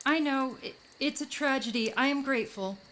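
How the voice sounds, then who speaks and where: A woman's voice: sits high, normal speed, a bit bright, slight background noise. A woman acting in a radio play as a sheltered, questioning young daughter of a crime boss. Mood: dutiful. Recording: music bed under the voice. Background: prominent music.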